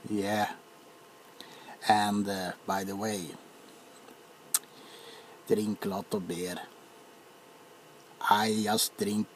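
A man voicing a greedy, mischievous male leprechaun in a put-on Irish accent, speaking low and often in a whisper in a comic ASMR roleplay. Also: a little mellow, a little hiss or hum, poor audio. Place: dark room, close to camera.

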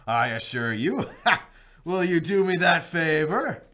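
An actor playing an elderly miser who has turned giddy and generous, in theatrical radio-drama style with frequent laughter. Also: male voice, on the high side, very animated, takes it slowly, sharp tone, full character voice. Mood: jubilant. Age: older.

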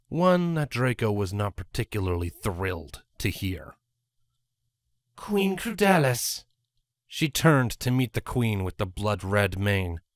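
A man's voice: lowish voice, no room sound, clean studio sound. A man reading scripted story narration as the narrator.

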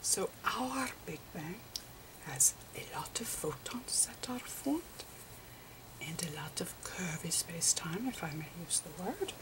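A woman voicing an eccentric elderly male physicist, whispering a halting, patient ASMR roleplay lecture. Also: fairly low pitch, expressive, recorded from a distance, background noise. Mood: hushed, wondering. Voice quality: breathy whisper. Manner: halting, repetitive, patiently explaining. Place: indoors at a slate chalkboard.

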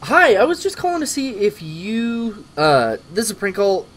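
A man's voice: high-pitched, big pitch swings, normal speed, fairly bright tone. A young man hosting a call-in stream with casual, mock-earnest banter. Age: young adult. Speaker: livestream host. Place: small cluttered office, indoors.

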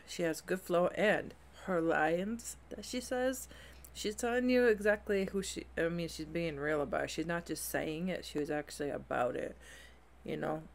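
A woman's voice: deep voice, vocal fry. A middle-aged woman chatting as a music reaction vlogger in a small room at home, enthusiastic and rambling, often restarting her sentences and laughing. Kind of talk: casual reaction chat.

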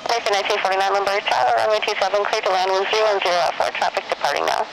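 Male air traffic controller, terse and procedural, extremely fast with creaky fry, heard through radio. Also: high voice, fairly even intonation.

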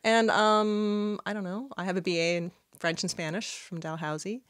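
A woman in her thirties from Canada, whose first language is Arabic, chatting as a podcast guest in a small studio on a boom mic, laughing often. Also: fairly even intonation, normal speed, clean tone, no room sound. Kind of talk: casual interview chat. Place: small studio with red curtains. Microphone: studio mic on boom arm.

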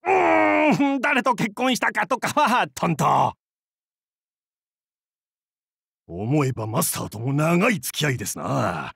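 An actor playing a boisterous, crude and goofy male pirate captain in a game, with a gravelly, husky voice and a hammy, theatrical, jokey way of talking. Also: high-pitched, sing-song, a little slow, fairly bright tone, dry recording, studio quality, heavily acted. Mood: joking, rambling. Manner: hammy, theatrical, jokey otaku-style speech. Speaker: game character voice. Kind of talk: voiced game character lines.

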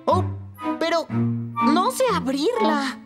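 Very high, mischievous little boy. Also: sing-song, exaggerated voice. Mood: whiny, reluctant. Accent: Latin American. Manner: whiny, drawn-out excuses. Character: mischievous, reluctant young boy dodging his chores. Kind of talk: animated children's cartoon dub. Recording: loud music behind the voice.

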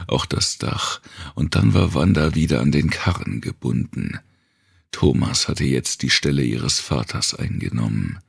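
Super deep husky guy narrating a grim thriller audiobook, slow, really dry close sound. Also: expressive, dry recording. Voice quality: gravelly, husky.

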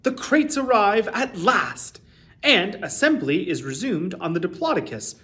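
Expressive, lively male storyteller. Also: sits high, measured pace. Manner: expressive, carefully enunciated storytelling. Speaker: museum storytime host. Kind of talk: reading a children's picture book aloud. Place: small indoor room with shelves.

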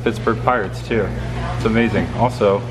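A man's voice: lowish voice, steady tone, normal speed, over music, poor audio. A man, narrating a travel vlog to a handheld camera while exploring roadside spots. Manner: chatty, enthusiastic, pointing things out. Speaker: travel vlogger. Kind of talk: casual on-the-go narration. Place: outdoors by roadsides and in a small diner. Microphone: handheld camera at arm's length. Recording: outdoor traffic noise behind.

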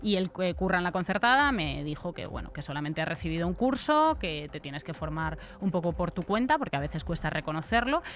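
A woman's voice: lowish voice, sing-song, brisk, hardly any pauses, fairly bright tone. A middle-aged woman with a Castilian accent from Spain, commenting on the news in an informal, colloquial way as a podcast co-host. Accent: Castilian Spanish from Spain. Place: radio-style podcast studio. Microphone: close studio microphone on desk.

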